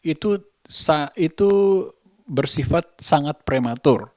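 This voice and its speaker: A man giving his opinions in a casual vlog, speaking deliberately and often searching for words. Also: a little high, expressive, darkish tone, no room sound, studio quality. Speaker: vlogger, host.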